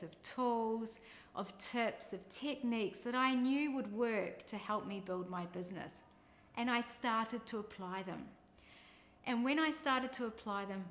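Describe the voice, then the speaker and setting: A woman's voice: mid-range voice, fairly bright tone. Upbeat New Zealand woman coach. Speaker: business coach. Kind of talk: scripted personal introduction.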